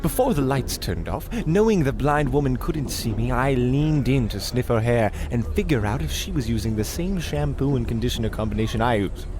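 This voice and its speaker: An actor playing a pompous, melodramatic, absurdly self-assured detective in the theatrical style of old radio comedy. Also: male voice, medium pitch, animated, brisk, hardly any pauses, a crowd in the background, lightly acted. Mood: self-important. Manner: theatrical, grandiose deductive declarations.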